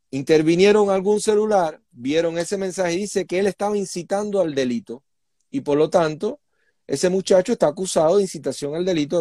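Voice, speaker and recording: A man's voice: a little high, mellow, dry recording, studio quality. A Cuban man speaking as an interview guest, explaining things in an emphatic, indignant conversational way. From Cuba. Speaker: interview guest, human rights activist.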